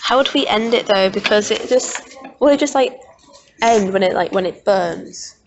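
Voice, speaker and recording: A woman's voice: medium pitch, normal speed, highs cut off. A young woman talking casually in a student brainstorming session, with some noise and clicks. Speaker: student in group project.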